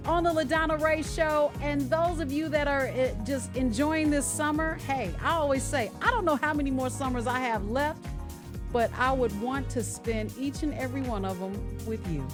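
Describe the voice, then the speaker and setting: Higher-pitched woman hosting a casual show. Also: moderate pace, music in the background. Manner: chatty, direct address to listeners. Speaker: radio and podcast show host. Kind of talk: casual, conversational radio hosting.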